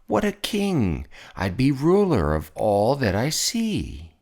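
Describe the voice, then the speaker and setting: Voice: medium pitch, wildly swooping pitch, slow, darkish tone, voice pushed up, full character voice. A storyteller voicing a boastful, tyrannical turtle king with a pompous, bellowing, commanding manner for a children's picture book. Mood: gloating.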